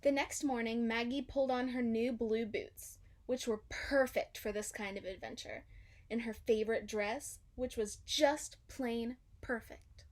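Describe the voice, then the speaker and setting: Woman reading a kids book out loud, real slow, highish voice, expressive and dramatic. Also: slight background noise. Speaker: storybook reader. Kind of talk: children's read-aloud narration.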